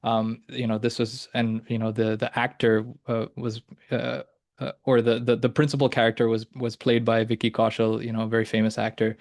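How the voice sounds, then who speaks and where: A young man hosting a podcast, talking in a halting way with many fillers and restarts. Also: mid-range voice, completely flat delivery, creaky, darkish tone, no echo, studio quality. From Hong Kong and mainland China. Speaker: podcast host. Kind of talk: casual discussion.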